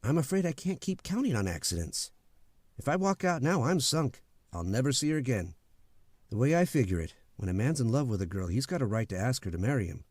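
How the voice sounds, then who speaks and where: A frank young suitor, played by a man, makes his case with earnest directness. The voice is animated and dry, in old-time radio drama style. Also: medium pitch, brisk, no room sound. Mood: frank, resolute. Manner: earnest, direct speech-making.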